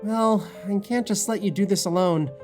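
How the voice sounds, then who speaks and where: A woman's voice: mid-range voice, moderate pace, darkish tone, faint music behind, lightly acted. Woman playing a kind, wry young male witch. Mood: resolved. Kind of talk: audio drama acting.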